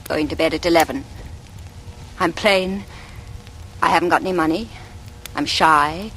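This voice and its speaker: A woman's voice: sits low, a little slow, a bit bright. A woman playing a shy, guarded, suspicious young woman with clipped, cool delivery in a 1940s radio thriller. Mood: bitter, flat. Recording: faintly noisy vintage radio recording.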